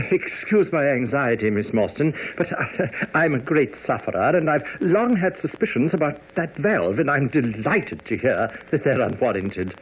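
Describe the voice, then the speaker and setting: An actor playing a fretful, nervous little gentleman hypochondriac in a radio drama, stammering and fussing. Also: medium pitch, big pitch swings, normal speed, husky. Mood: anxious, relieved. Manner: stammering, fussy, nervous chuckling. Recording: muffled old radio broadcast.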